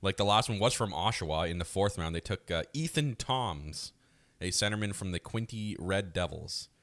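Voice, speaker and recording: A man's voice: low-pitched, lively intonation, moderate pace, a bit bright, no echo. A man chatting casually as a co-host and analyst on a hockey podcast. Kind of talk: casual sports podcast chat.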